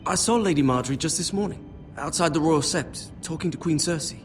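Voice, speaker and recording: A man's voice: a little high, fairly quick, faint music behind, natural voice. A man playing a humble, polite young servant boy in a medieval fantasy game, speaking in a deferential, hesitant way. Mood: confiding, cautious. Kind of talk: video game drama acting.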